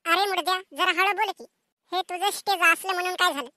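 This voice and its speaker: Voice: very high-pitched, fairly quick, bright, forceful tone, no echo, studio quality, full character voice. A performer voicing a cheeky, childlike little cartoon singing contestant. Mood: sassy, scolding. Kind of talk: comic cartoon acting.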